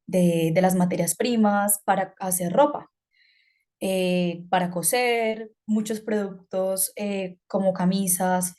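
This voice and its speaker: Woman from Colombia, presenting a talk, conversational, hesitant fillers, medium pitch. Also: mellow. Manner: conversational, hesitant with fillers, asks about slides. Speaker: speaker.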